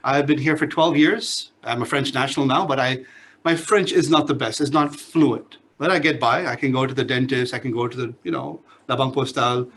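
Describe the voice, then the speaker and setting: A man's voice: mid-range voice, steady tone, brisk, fairly bright tone, a little hiss or hum. A middle-aged man with a Singaporean English accent, a business lecturer introducing himself to his class in a chatty, joking way. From Pakistan, grew up in Singapore. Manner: chatty, joking asides, checks in with "all right?".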